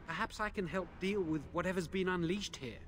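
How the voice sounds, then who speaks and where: An actor playing a remorseful, anxious young imprisoned mage in a video game, speaking earnestly and hesitantly. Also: male voice, high voice, fairly quick, fairly bright tone, soft background music. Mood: earnest, uncertain. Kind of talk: video game dialogue acting.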